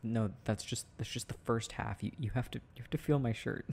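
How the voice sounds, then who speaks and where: A young man doing an intimate, casual boyfriend roleplay, playful and affectionate, talking in a halting, giggly way. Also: medium pitch, vocal fry, darkish tone, husky. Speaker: boyfriend roleplay audio performer.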